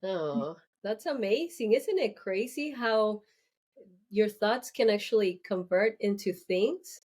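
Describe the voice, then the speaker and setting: A woman's voice: medium pitch, lively intonation, a little mellow. A woman hosting an interview, encouraging her guest with frequent affirmations. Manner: slow, encouraging, many affirmations. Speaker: interview host.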